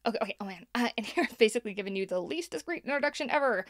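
A woman's voice: fairly high pitch, animated, rapid-fire, no echo, studio quality, natural voice. An actress playing a nervous young woman who hosts a podcast, rambling and laughing as she talks. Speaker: podcast host. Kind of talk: audio drama acting.

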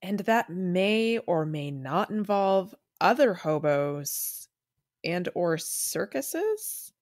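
A woman's voice: lowish voice, big pitch swings, very slow, no echo, studio quality, full character voice. An actress voicing a cocky young female archer sidekick in a motion comic, sardonic and teasing. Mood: skeptical.

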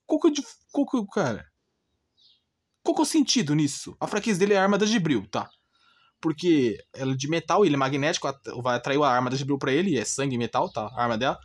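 A man's voice: fairly high pitch, lively intonation, fast. A man with a Brazilian Portuguese accent gives an informal, blunt rant, exasperated and repeating himself with rhetorical questions. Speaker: gaming channel host.

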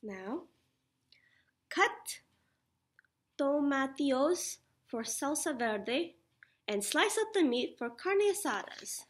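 A young woman teacher reading a children's picture book aloud in an animated, instructive way. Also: sits high, slow pace, pauses often. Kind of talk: children's picture-book read-aloud with sing-song refrains. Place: small indoor classroom.

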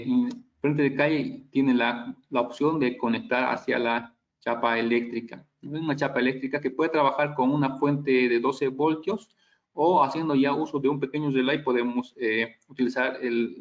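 Man presenting, dull lo-fi audio. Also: medium pitch. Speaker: product trainer giving a webinar. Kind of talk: technical slide presentation with audience questions.

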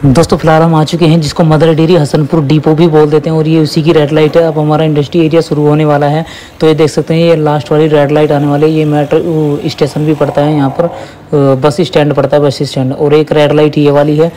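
A man's voice: fairly high pitch, flat delivery, fast talker, warm tone. A young man from India giving a chatty running commentary while riding a motorbike through city traffic. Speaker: motovlogger. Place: on a motorbike on city roads outdoors. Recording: faint traffic noise behind. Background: traffic and engine sounds.

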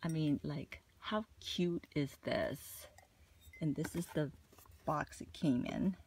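A woman's voice: deep voice, slow, creaky, a little mellow, a little hiss or hum. A woman vlogging casually about her shopping haul, chatty and gushing, with plenty of "like" and "you know". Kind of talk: casual haul vlog chat.

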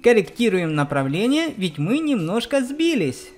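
A woman's voice: mid-range voice, big pitch swings, moderate pace, darkish tone, soft background music. A woman giving explanatory game commentary for children, speaking directly to her young viewers. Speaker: let's play narrator on a children's channel.

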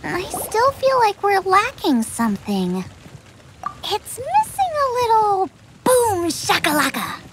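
A woman voicing an eager, bubbly teenage girl chef in a video game, with a perky, expressive manner. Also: extremely high pitch, takes it slowly, noisy background, full character voice. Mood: thoughtful. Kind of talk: animated video game voice acting.

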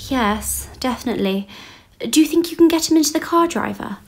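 A woman playing a brisk, self-assured upper-class British widow in a radio play, kindly but bossy. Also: high voice, animated. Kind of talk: 1930s-set radio play acting.

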